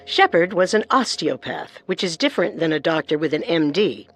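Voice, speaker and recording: A woman's voice: deep voice, very animated, normal speed. A woman hosting a podcast with scripted true-crime narration. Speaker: podcast co-host.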